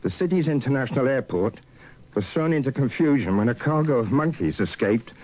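A man's voice: medium pitch, animated, fairly quick, darkish tone, low-quality recording. A man reading a radio news bulletin in a formal style. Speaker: radio newsreader.

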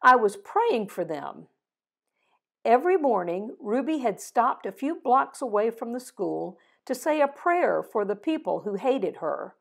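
An older woman reading a picture book aloud and closing with a prayer, gently voicing the dialogue, recorded over a video call at home. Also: a little high, sing-song, gravelly. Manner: slow, gentle storytelling with voiced dialogue. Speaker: storybook reader. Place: home living room with fireplace.

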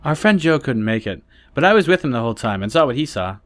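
A woman voicing an easygoing, friendly teenage boy, pitching her voice down. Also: big pitch swings, fast, no room sound, clean studio sound.

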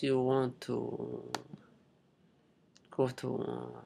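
A young man from Saudi Arabia with a Saudi Arabic accent, a native Arabic speaker, giving a halting, unscripted step-by-step screen tutorial in simple phrases. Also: mid-range voice, vocal fry, darkish tone, a little hiss or hum. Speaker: international student giving a tutorial. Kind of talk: unscripted screen-recording walkthrough.

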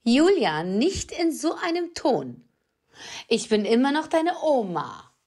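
A woman playing a wicked, domineering old witch grandmother in an audio drama, with a taunting, drawn-out delivery. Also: on the high side, big pitch swings, darkish tone, heavily acted. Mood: stern, menacing. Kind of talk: German audio drama acting.

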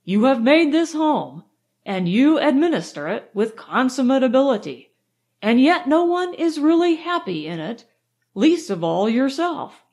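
A woman voicing a calm, stern male doctor. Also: high-pitched. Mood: firm. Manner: firm, measured, lecturing.

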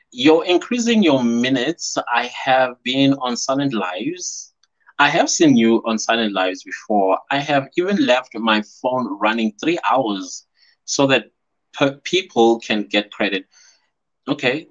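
A man's voice: medium pitch, unhurried. South african guy on a webcam live stream, chatty, laughs a lot, kinda muffled lo-fi sound. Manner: chatty and animated, laughs often, reads out viewer comments. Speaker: YouTuber hosting a live stream. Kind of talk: casual live-stream chat. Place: small indoor room at home. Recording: slightly dull lo-fi stream audio.

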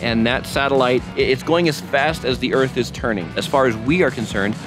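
A man's voice: medium pitch, a little fast. A man hosting a vlog, chatting and explaining things off the cuff in an informal, joking way. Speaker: video host, broadcast engineer. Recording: background music over the voice.

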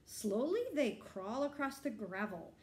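A middle-aged woman reading a picture book aloud to children, explaining and asking simple questions in an animated way. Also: fairly high pitch, a little slow, roomy sound, slight background noise. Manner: animated, explanatory, addressing young listeners. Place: bright indoor room.

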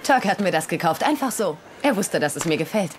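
Proud guarded woman, dry teasing wit, fairly low, faint noise, comedy-drama dub. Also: very animated, brisk. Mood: pleased. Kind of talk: German TV comedy-drama dubbing.

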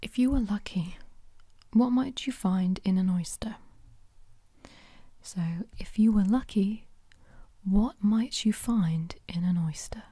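A woman hosting an ASMR trivia quiz in a soft whisper, chatty and quick to correct herself. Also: mid-range voice, husky, no room sound, clean studio sound. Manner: chatty, self-correcting, giggly asides. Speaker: quiz host.

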